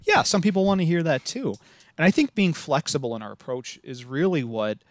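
A man's voice: a little high, animated, fairly quick, no echo. Enthusiastic Midwestern man, dry close mic. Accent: American Midwestern. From American Midwest. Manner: rambling, enthusiastic, many restarts. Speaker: podcast guest, living history reenactor. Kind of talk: casual podcast interview.

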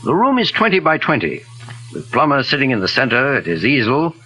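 An actor playing a kindly older gentleman detective with courteous, measured delivery in 1940s radio mystery style. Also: medium pitch, huge pitch swings, low-quality recording. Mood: grave, explaining. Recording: raspy-edged old 1940s radio recording.

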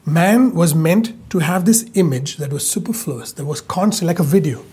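Male preacher, high husky voice, emphatic, stretches words for weight. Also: dark tone. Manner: emphatic, repeats phrases, stretches key words slowly. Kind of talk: sermon.

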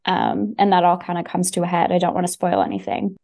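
Excited woman on a podcast, mellow low-ish voice, lots of ums and restarts. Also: quick pace, vocal fry. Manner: enthusiastic, lots of fillers and restarts. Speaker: podcast guest recommending books. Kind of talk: casual chat.

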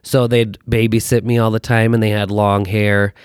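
An American man in his thirties chatting casually as a podcast guest, telling personal stories in an animated, self-deprecating way. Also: fairly low pitch, moderate pace, smooth, no echo, clean studio sound. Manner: animated, self-deprecating joking with acted-out quotes. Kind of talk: casual chat, personal storytelling.

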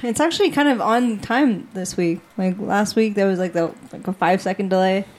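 A woman's voice: mid-range voice, brisk, darkish tone, a little hiss or hum. A woman co-hosting a casual live chat show, talking chattily with lots of fillers. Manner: chatty, many likes.

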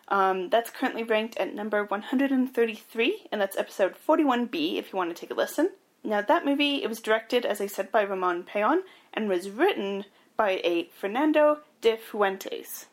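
A woman co-hosting a casual podcast, chatting and recapping information in an informative way. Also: mid-range voice. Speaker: podcast co-host.

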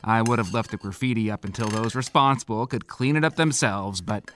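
A man playing an easygoing, gently teasing middle-aged small-town sheriff and father in an audio drama, with a dry, unhurried manner. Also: on the low side, slight background noise, natural voice. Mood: relaxed. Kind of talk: naturalistic audio drama acting.

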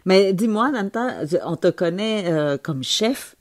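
A woman's voice: medium pitch, animated, a little slow. A woman hosting a radio interview, who narrates the links and asks encouraging questions. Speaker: host.

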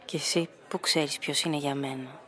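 Self-possessed young club hostess. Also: female voice, sits low, fairly even intonation, fairly bright tone, husky. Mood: cool, dismissive. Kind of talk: Greek radio drama acting.

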